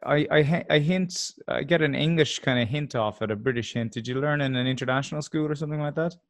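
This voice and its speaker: A man hosting an interview, chatty and curious, asking relaxed questions. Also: fairly high pitch, quick pace. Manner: chatty, curious, drops in learned phrases. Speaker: host. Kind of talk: casual interview chat.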